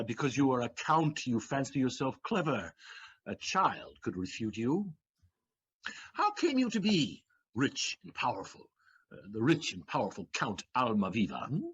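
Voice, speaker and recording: A man's voice: medium pitch, slightly rough, highs cut off. A man acting a shrewd, sardonic, swaggering servant in an audio play, with declamatory, mocking delivery and theatrical emphasis.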